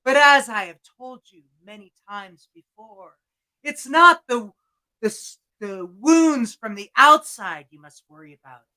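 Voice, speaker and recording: A wise old dragon with a theatrical, booming voice, as a woman puts it on. It draws its words out slowly in a high, bright tone. It swells into loud cries in the manner of a storybook telling. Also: expressive, poor audio. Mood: dramatic. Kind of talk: storytelling performance.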